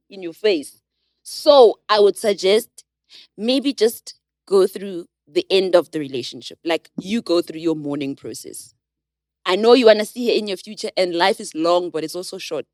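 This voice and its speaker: A woman on a podcast panel shares advice in a reflective, expressive way. Her voice sits in the middle range and sounds close and dry, with no room around it. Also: mid-range voice, no room sound. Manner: thoughtful, advising, expressive. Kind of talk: casual chat.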